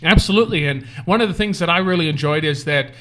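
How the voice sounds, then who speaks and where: A man with a high-pitched voice hosting a casual interview, teasing and joking with his guest. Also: fast, a bit bright. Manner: playful, teasing, jokey. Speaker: talk show host.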